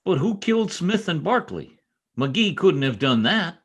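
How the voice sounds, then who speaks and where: Man acting a husky, very expressive trooper. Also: a little high, unhurried, exaggerated voice. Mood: alarmed. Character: earnest, protective young state trooper and sweetheart. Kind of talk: old time radio drama acting. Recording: remote video call recording.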